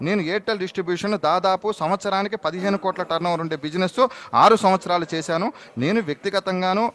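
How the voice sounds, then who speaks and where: A man's voice: high voice, fairly even intonation, fast talker, fairly bright tone. A man phoning in to a live show, explaining his side insistently and politely, often repeating himself. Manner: insistent, repetitive, polite pleading. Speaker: phone-in guest giving his side. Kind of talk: live phone interview, explaining. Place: on a phone call to a live TV show.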